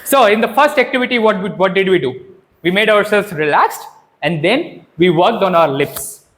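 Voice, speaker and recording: High-pitched man leading a speaking workshop. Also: moderate pace. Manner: animated, instructive, asks rhetorical questions and checks in. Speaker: workshop trainer leading a public speaking session. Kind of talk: interactive speaking and voice training workshop.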